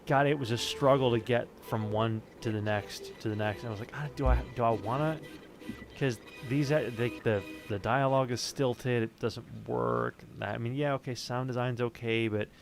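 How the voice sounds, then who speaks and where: A man's voice: mid-range voice, steady tone, normal speed, creaky, soft background music. A man in casual conversation, talking in an emphatic, opinionated and unguarded way. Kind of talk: casual chat.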